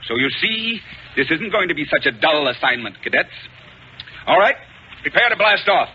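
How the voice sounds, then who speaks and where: An actor playing a shrewd, wry intelligence colonel with assured authority in a 1950s radio serial. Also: male voice, a little high, very animated, moderate pace. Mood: earnest. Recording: muffled, hissy 1950s radio recording.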